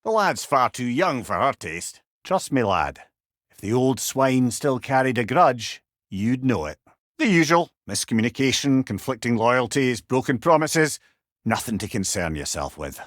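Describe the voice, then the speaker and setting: A man's voice: medium pitch, big pitch swings, unhurried, a bit bright, no room sound, clean studio sound. A man playing a witty, avuncular old Scottish counsellor in a video game, a severed head who talks in a wry, courtly, chatty way with salty turns of phrase. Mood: genial, explaining. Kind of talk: video game character dialogue.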